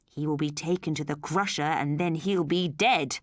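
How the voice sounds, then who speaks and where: A woman's voice: moderate pace, no room sound, studio quality, close to an everyday voice. A woman voicing a distraught, defiant little schoolgirl in an audiobook story, pleading and emotional. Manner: pleading, emotional, high-pitched outbursts.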